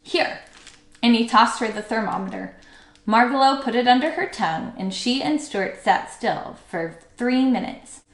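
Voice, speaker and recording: A woman's voice: fairly high pitch, animated, normal speed, echoey room. A woman teacher reading a children's story aloud as narrator.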